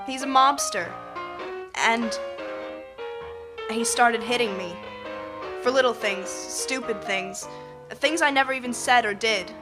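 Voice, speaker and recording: A woman's voice: on the high side, slow pace, pauses often. A woman playing a mysterious gangster's runaway girlfriend in a noir radio drama. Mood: troubled. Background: music.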